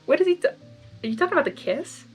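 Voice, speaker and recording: A woman reading fan fiction aloud as host, narrating and breaking into casual, expressive reactions. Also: sits high, racing, faint music behind. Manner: expressive, animated asides.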